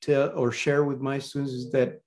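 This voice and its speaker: A gracious, measured man answers on a video call. He is a professor, and English is not his first language. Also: mid-range voice, flat delivery, a little mellow. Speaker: guest speaker, professor. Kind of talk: webinar answer.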